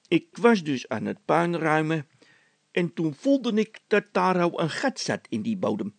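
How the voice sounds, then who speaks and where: A man voicing a slow, timid, plain-spoken labourer with a rambling, hesitant manner in a children's story. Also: a little high, vocal fry, dry recording, pitched above the performer's own voice, exaggerated voice.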